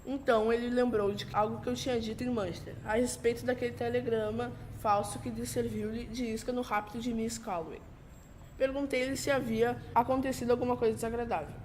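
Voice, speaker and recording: Knowing, formal gentleman played by a female, highish voice, amateur period radio drama, faint noise. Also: steady tone, fairly quick, lightly acted, rough recording. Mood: composed, explanatory. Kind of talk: amateur 1940s-style radio drama acting.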